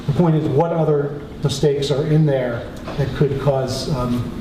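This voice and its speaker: A man with a somewhat high voice speaks at a public meeting. His delivery is cautious and hedged. The sound is heavily echoed, with clear noise in the background. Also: steady tone, unhurried. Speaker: participant in a borough council meeting. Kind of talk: spoken remarks at a public meeting. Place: indoor council meeting room.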